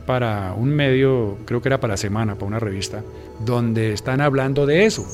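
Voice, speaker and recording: A man's voice: mid-range voice, fast talker. A man with a Colombian accent recalling events in an interview as a police commander. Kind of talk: spoken recollection in interview. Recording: loud music over the interview. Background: loud music.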